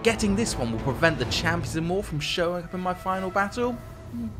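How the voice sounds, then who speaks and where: A man's voice: sits high, expressive, a little fast, music in the background. A man giving scripted, tongue-in-cheek strategy game narration in a wry, theatrical, playfully villainous manner.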